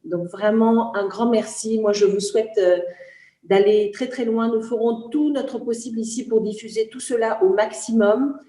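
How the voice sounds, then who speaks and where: Mellow-voiced woman, earnest and hesitant. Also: fairly high pitch, steady tone, normal speed, reverberant. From Senegal. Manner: long earnest sentences with frequent hesitations. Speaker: speaker presenting a project. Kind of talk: formal testimonial talk.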